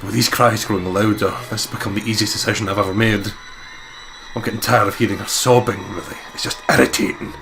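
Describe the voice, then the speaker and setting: A man reading a visual novel aloud dramatically as a let's play host, swearing and breaking into excitable joking commentary, with game music behind him. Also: on the low side, fast talker. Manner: excitable, sweary, jokey ad-libs.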